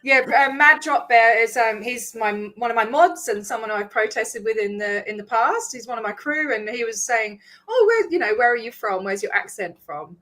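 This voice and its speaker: An Australian woman, a guest on a casual interview stream, friendly and quick to laugh. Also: fairly high pitch, lively intonation, fairly bright tone.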